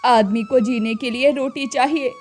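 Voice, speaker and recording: A woman's voice: high voice, steady tone, soft background music, natural voice. A woman doing a worried old village mother. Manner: pleading, slow and tearful.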